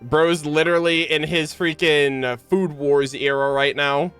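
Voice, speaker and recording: A man's voice: a little high, steady tone, unhurried, fairly bright tone, clear, resonant tone. Male game streamer, informal and slangy, talking to chat over game music. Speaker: live streamer, gamer. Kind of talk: casual live game-stream commentary to chat.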